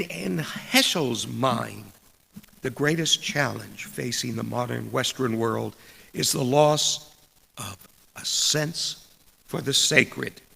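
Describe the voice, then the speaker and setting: Slow gravelly male preacher in echoing hall. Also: medium pitch, bright, forceful tone. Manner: deliberate, measured, with dramatic pauses. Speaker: preacher reading a sermon. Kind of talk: sermon with read quotations. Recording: long echoing hall reverb.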